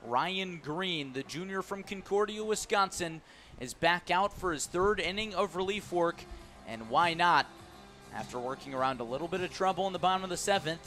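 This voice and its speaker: Higher-voiced man calling baseball on radio. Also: sharp tone, faint music behind. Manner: measured, detailed calls that swell on key plays. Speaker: play-by-play radio announcer. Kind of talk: live baseball commentary. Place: ballpark broadcast position.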